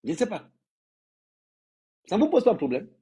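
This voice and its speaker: Husky man scolding his followers. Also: sits high, huge pitch swings, moderate pace, dark tone. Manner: emphatic, scolding, repeats phrases for effect. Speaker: social media influencer. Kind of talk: livestream rant to followers.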